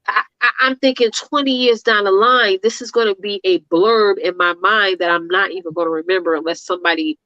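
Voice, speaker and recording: Woman, 30s, talk show co-host, earnest, motivational, mid pitch, dry muffled audio. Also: fairly even intonation, brisk, few pauses, bright, forceful tone, dry recording. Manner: earnest, motivational, repeats phrases. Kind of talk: casual talk show chat.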